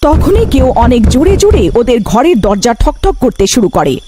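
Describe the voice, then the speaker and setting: A woman's voice: sits high, very animated, normal speed, music in the background. A woman narrating a scripted horror folk tale as a storyteller.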